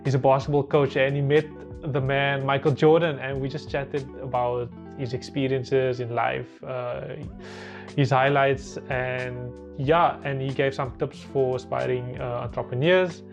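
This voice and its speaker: A man's voice: medium pitch, flat delivery, unhurried. A man giving a casual podcast introduction as the host and interviewer. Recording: dull lo-fi sound with music behind.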